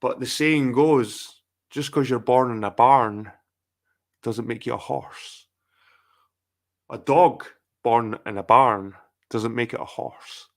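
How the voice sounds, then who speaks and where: A man's voice: mid-range voice, slow, pauses often. Rambling, profane middle-aged man. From England. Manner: profane, rambling, repeats himself. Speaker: livestream host. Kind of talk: casual ranting livestream chat.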